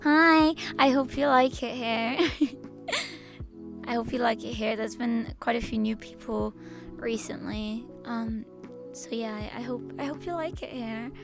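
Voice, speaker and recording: Raspy hoarse girl streamer rambling to chat, kinda high voice, lots of restarts. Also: slow, over music. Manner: rambling, stop-start, repeats herself, laughs often. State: hoarse, slightly lost voice. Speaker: VTuber streamer. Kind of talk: casual live-stream chat to viewers.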